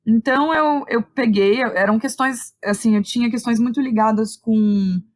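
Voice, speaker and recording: A woman's voice: on the high side, normal speed, no echo. A woman with a São Paulo Brazilian accent chatting informally as an interview guest, often checking in with little tag questions. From Santo André, São Paulo, Brazil. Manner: informal, conversational, uses frequent tag questions. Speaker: guest, theatre director and playwright. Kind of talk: casual interview chat.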